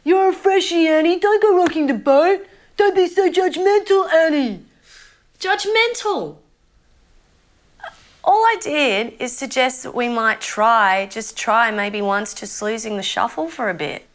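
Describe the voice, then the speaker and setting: A woman's voice: high voice, measured pace. Actress as young female zombie, Australian accent, opinionated idealist, indignant, sweary, mockumentary monologue. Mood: mocking, exasperated. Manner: sweary, indignant, conversational rambling.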